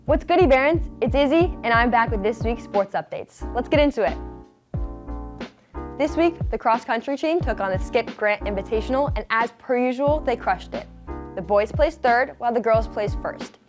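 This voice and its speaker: A woman's voice: fairly high pitch, lively intonation, moderate pace, over music. Upbeat young woman reading sports news. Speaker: student sports reporter.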